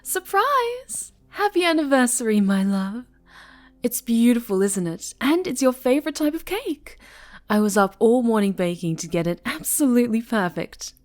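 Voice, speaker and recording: A woman playing a sinister, obsessively doting dark-angel superhero in an intimate ASMR roleplay, cooing and whispering with sweet menace. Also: fairly high pitch, very animated, moderate pace. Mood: gushing, excited.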